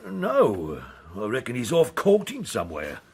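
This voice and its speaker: An actor playing an earthy, leering old sea captain in a radio drama, talking in a colloquial, teasing, insinuating way. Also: male voice, medium pitch, wildly swooping pitch, a little slow, a little mellow, breathy edge, full character voice. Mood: gossipy.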